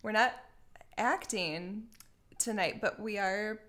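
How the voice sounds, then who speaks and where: A woman's voice: mid-range voice, takes it slowly, sharp tone. A woman co-hosting a podcast in casual chat, with a profane and giggly manner. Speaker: podcast co-host. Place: living room.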